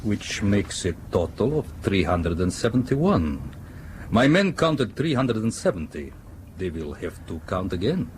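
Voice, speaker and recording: Formal, guarded foreign sea captain. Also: male voice, on the low side, sing-song, slight background noise. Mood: measured, probing. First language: not English. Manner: formal, precise, measured courtesy. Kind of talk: radio drama acting.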